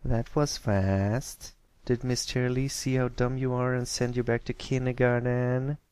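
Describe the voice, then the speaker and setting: A man's voice: mid-range voice, level tone, measured pace, a little mellow, smooth, no echo, close to an everyday voice. A man voicing a spoiled, malicious schoolgirl filly pony who taunts smugly in a storybook reading. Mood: taunting, smug.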